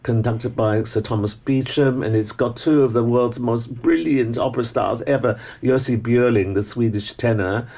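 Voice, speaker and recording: An older man with an educated Hong Kong English accent, a Cantonese speaker, reminiscing as a guest in a relaxed interview with frequent restarts and small laughs. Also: mid-range voice, normal speed, few pauses. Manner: thoughtful, with many restarts and soft laughs.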